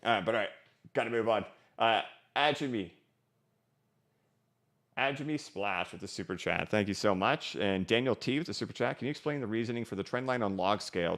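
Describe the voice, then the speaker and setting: Deep smooth-voiced man hosting a livestream. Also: a bit bright. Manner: casual, rambling, many restarts and fillers. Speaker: crypto livestream host. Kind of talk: live-stream chat reading super chats. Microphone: studio microphone.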